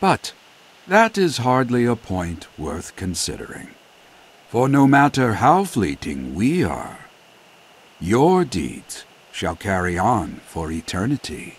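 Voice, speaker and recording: A solemn game character with a husky, breathy voice and sweeping intonation. He speaks slowly and formally, in florid, earnest phrases of gratitude and prophecy. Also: on the low side, sing-song, heavily acted. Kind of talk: dramatic video game cutscene acting.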